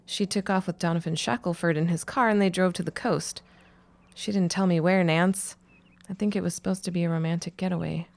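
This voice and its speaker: A practical woman shopkeeper in a period radio play. Her voice sits a bit low, and her delivery is wry, frank and matter-of-fact. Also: steady tone, fairly quick, creaky, darkish tone. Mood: wry, casual. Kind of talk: period audio drama acting, conversational.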